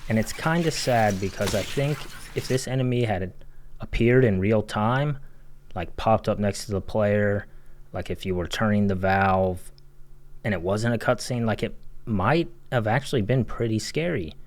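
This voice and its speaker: A man's voice: lowish voice, moderate pace. A man giving a critical video game analysis voice-over in a casual, opinionated, emphatic way. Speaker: video essay commentator.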